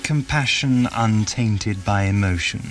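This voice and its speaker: A man playing a wry, gently sardonic time-travelling adventurer in an audio drama, speaking in a dry, understated way. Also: fairly low pitch, unhurried, over loud music, natural voice.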